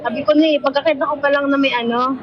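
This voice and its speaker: A woman passenger on a motorcycle taxi chatting casually and a little haltingly through a helmet intercom. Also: high-pitched, steady tone. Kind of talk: casual chat. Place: outdoors on the back of a motorcycle. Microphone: helmet intercom microphone. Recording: muffled intercom mic with loud road noise. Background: traffic and engine noise.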